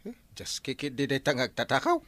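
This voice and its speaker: A man with an Ilocano accent and a gravelly voice, playing a village captain in a comedy drama, admonishing people with drawn-out, hesitant interjections. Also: medium pitch, huge pitch swings, a bit bright, dry recording. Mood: concerned. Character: village captain, mediating and admonishing comic official.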